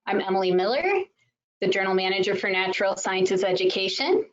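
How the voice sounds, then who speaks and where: A woman's voice: medium pitch, lively intonation, fairly quick. A woman opening a webinar as its host, politely reading a scripted welcome and introduction. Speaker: journal manager, host. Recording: dull lo-fi webinar audio.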